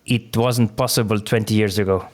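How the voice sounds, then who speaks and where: A man hosting a podcast in relaxed conversation who laughs easily and uses many fillers. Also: fairly low pitch, moderate pace. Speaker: podcast host. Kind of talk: casual interview talk.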